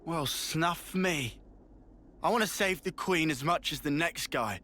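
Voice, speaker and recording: An actor playing a blunt, excitable young soldier who talks loudly and plainly. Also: male voice, a little high, measured pace. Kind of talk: video game cutscene voice acting.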